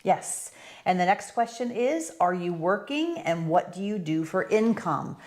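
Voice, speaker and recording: A woman's voice: lowish voice, lively intonation, measured pace, darkish tone. An older American woman co-hosting a casual question-and-answer chat, upbeat and chatty as she leads the questions. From United States. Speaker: co-host.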